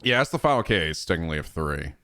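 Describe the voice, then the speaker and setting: A man's voice: sits low, very animated, fast, bright, forceful tone. A man in his thirties chatting casually on a livestream, rambling and swearing freely with frequent laughs. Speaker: streamer.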